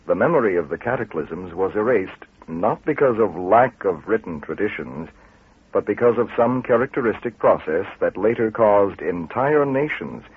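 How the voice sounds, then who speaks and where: A man delivering scripted documentary narration in a grave, formal, measured manner. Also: lowish voice, husky. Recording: tinny, band-limited radio recording.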